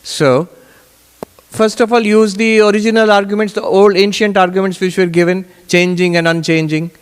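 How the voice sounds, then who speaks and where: Man, spiritual teacher, higher-pitched voice, deliberate emphatic phrasing, question-and-answer teaching, long hall reverb. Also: steady tone, clean tone.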